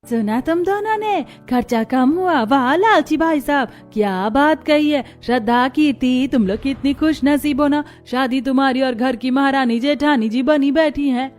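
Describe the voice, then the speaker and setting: A woman performs a showy, venom-tongued aunt in a family story drama. She gushes and needles in a highish voice, with music underneath. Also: moderate pace, few pauses, darkish tone, natural voice. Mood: slyly taunting. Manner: showy, taunting. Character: gushing, meddling, venom-tongued paternal aunt. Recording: music behind the voice.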